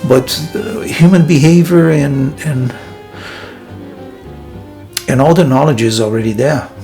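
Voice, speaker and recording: Guy musing from his monastery room, slow, hesitant, lots of ums, faint music behind. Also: fairly high pitch, gravelly, warm tone. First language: Portuguese. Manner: thoughtful, hesitant, many pauses and fillers. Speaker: filmmaker and vlog host. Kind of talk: reflective personal vlog.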